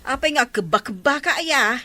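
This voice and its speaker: A woman's voice: high voice, lively intonation, normal speed, sharp tone. An actress with an Ilocano accent playing an inquisitive, playful village councilwoman in a comedy drama.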